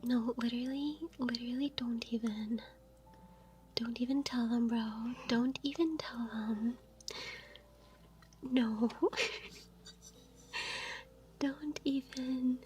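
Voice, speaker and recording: A woman whispering softly on a live stream, chatting with her viewers and giggling through her words. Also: fairly high pitch, fairly even intonation, takes it slowly, pauses often, slight background noise. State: laughing to tears.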